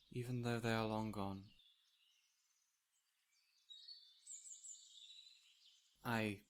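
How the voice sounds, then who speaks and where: A man playing a gentle, whimsical fey spirit in an audio roleplay, speaking in a theatrical, formal, old-fashioned way straight to the listener. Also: mid-range voice. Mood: wistful, sorrowful. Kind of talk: intimate audio roleplay, addressing the listener directly. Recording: clear ambient noise with birdsong. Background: birdsong and forest ambience.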